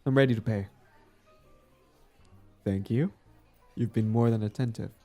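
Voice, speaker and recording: A man acting out a smug but smitten villain on a date, smooth-talking and casual, in an intimate audio roleplay. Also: fairly low pitch, measured pace, mellow, faint music behind. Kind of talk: intimate scripted boyfriend-style audio roleplay.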